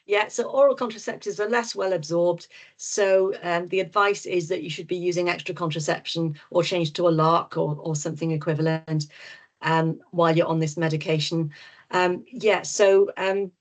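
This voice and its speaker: A woman's voice: fairly low pitch, fairly even intonation, normal speed. A middle-aged woman with a Welsh accent, a doctor and nutritionist answering webinar questions frankly and reassuringly, with plenty of ums and restarts. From Wales. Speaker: GP and nutritionist guest speaker.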